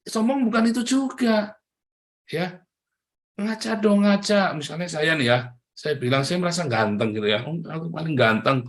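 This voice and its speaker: A man's voice: fairly high pitch, lively intonation, normal speed, fairly bright tone. A middle-aged man from Tegal preaching in a chatty sermon style, with a Tegal Javanese accent, often addressing listeners as brothers and sisters. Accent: Tegal Javanese (ngapak). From Tegal, Central Java, Indonesia.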